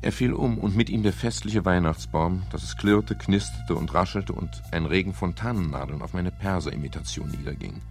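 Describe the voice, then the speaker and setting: An actor playing a wry, hard-boiled private detective, narrating his case in a dry, deliberate, ironic way for a radio crime drama. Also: sits low, darkish tone, soft background music. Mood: dryly ironic. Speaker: first-person narrator.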